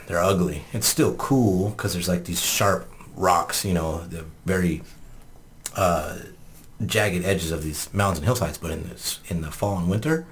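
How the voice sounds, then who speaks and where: A man's voice: low-pitched, fairly bright tone, a little hiss or hum. A man hosting a casual group podcast, joking and ribbing his friends, with frequent swearing. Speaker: podcast host.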